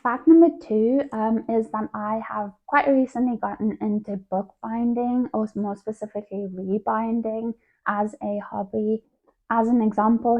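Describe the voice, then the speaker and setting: A chatty woman in her thirties talks to her viewers in a relaxed, conversational way. Her voice has a gravelly, creaky edge and sits at an ordinary middle pitch. The sound is thin and tinny, like a phone line. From South Africa. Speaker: book vlogger. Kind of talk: casual chat to camera.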